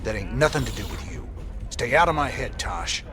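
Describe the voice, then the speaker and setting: An actor playing a weary, stubborn rebel commander in a video game, terse and folksy with an American accent. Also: male voice, medium pitch, animated, moderate pace, natural voice. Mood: angry. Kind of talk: video game cinematic acting. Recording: music behind the voice.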